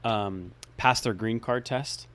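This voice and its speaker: A man's voice: lowish voice, slow pace, fairly bright tone. A man co-hosting a podcast in casual chat, thinking aloud hesitantly with many restarts. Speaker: podcast co-host.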